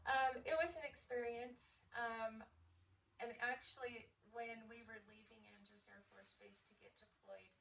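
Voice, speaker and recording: Bright, high-voiced American woman, distant mic. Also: fairly even intonation, a little slow, gravelly. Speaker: interviewee, US Air Force veteran telling her story. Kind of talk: casual personal storytelling in an interview. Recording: noisy, somewhat distant microphone.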